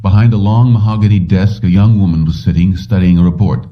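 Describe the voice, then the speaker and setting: A man's voice: low-pitched, steady tone, fairly quick. A man narrating fiction from a script as an audiobook reader. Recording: slightly muffled, distant from microphone.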